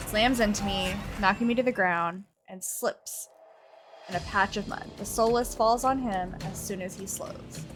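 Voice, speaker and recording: A woman reading a visual novel aloud as a game streamer, with expressive, theatrical delivery. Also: a little high. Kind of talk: dramatic narration of a visual novel with casual asides.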